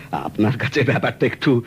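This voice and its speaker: An actor playing a polite, earnest middle-aged client in a radio drama, explaining his story courteously and at length. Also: mid-range voice. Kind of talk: 1970s radio drama acting. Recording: old radio recording with faint hiss.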